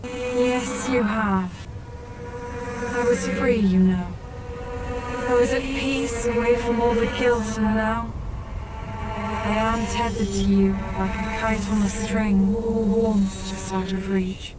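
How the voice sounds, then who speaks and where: A synthetic female voice playing a gentle, guilt-ridden ghost of a woman scientist in an audio drama, speaking in an earnest, halting, confessional manner. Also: on the high side, steady tone, over loud music, low-quality recording. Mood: sorrowful. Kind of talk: scripted audio drama acting.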